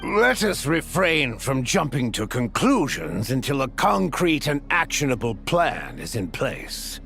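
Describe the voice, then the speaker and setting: A man playing a stately older lord in a video game, speaking formally and deliberately with measured authority. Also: medium pitch, wildly swooping pitch, vocal fry, a bit bright, soft background music, heavily acted. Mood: measured, authoritative.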